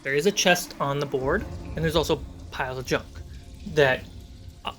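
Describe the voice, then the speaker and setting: Higher-pitched male game master. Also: moderate pace, fairly bright tone, faint music behind. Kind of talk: narrating a tabletop fantasy game.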